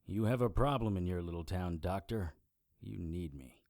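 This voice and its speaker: A man's voice: deep voice, moderate pace, gravelly, a little mellow, no echo. An actor playing a wisecracking private investigator who narrates his own story in a radio drama. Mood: sardonic. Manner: dry, sardonic wisecracks. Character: Harry Strange, wisecracking male private investigator. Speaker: narrator and lead character. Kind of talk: hardboiled first-person narration.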